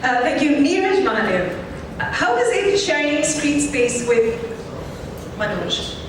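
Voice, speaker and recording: A woman's voice: high-pitched, sing-song, slow pace. A woman hosting a press conference, putting questions to the cast in an announcing manner. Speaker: event host. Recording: reverberant hall, distant mic, noisy.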